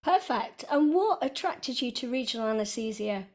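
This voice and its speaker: A woman's voice: a little high, animated, normal speed, a little mellow. A woman hosting a casual interview podcast, upbeat and chatty. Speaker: podcast host.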